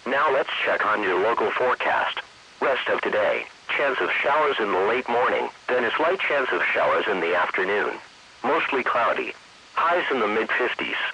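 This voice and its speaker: A synthetic man's voice reading an automated weather forecast in formal, even phrases. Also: mid-range voice, normal speed, slightly rough, dull audio. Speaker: weather radio announcer.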